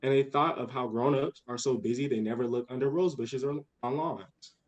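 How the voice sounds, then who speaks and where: A man's voice: medium pitch, moderate pace, close to an everyday voice. A young man playing an eager, bossy, imaginative little girl in a radio play, with earnest impatience. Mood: earnest, excited. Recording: dull lo-fi audio.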